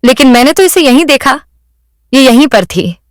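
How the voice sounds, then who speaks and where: A kind, mysterious lady sculptor voiced by a woman in a cartoon moral tale. She has a slightly high voice and speaks with gentle, earnest reassurance, recorded dry and close. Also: lively intonation, moderate pace, no room sound. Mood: sincere, curious. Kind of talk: cartoon moral story voice acting.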